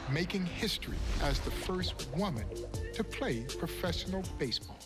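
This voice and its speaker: Fairly high male voice, documentary storyteller, emphatic, rhetorical questions, prominent music. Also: expressive, moderate pace. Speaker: documentary narrator and historian. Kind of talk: storytelling narration for sports documentary segments. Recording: prominent music under the narration.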